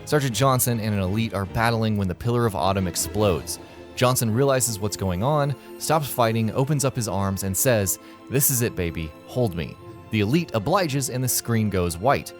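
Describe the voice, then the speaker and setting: A man's voice: on the low side, moderate pace, soft background music. A man reading scripted narration for a video game list.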